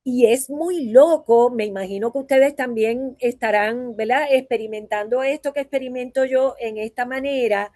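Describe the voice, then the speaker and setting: A woman's voice: high-pitched, a little mellow, dry recording, clean studio sound. A woman from Puerto Rico with a Puerto Rican accent, a voice teacher giving a conversational conference talk and often checking in with her listeners through tag questions. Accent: Puerto Rican Spanish.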